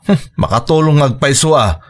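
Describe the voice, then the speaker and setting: A man's voice: medium pitch, lively intonation, moderate pace, natural voice. A man playing a stingy, grumbling, domineering husband in an Ilocano radio drama. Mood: complaining, scolding.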